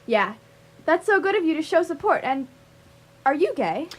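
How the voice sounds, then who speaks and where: Woman acting a confident, high-voiced dojo owner. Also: very animated, normal speed. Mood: curious. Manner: patient, probing, forthright. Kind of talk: radio theatre comedy acting.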